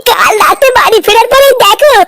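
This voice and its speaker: A performer voicing an aggrieved, foul-mouthed henpecked village husband in a comedy cartoon, whining in a cartoonishly high voice. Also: lively intonation, brisk, raised voice, very noisy. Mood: aggrieved, furious.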